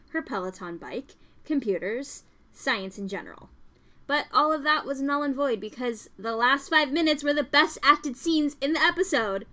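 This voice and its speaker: A woman with a slightly high voice, co-hosting a review podcast. She moves between casual banter and prepared bits, delivered as tongue-in-cheek mock presenting. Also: sharp tone. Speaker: podcast co-host.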